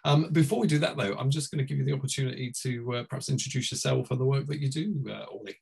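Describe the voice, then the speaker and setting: A man hosting an informal interview, asking softened, wordy questions in a tentative manner. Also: medium pitch, quick pace, gravelly, breathy edge. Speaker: host, interviewer.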